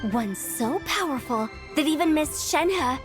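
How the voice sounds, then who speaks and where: A woman's voice: high-pitched, expressive, unhurried, over loud music. A woman voicing a playful, imaginative teenage girl with a dramatic manner in a video game. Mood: dramatic, excited. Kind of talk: animated video game voice acting.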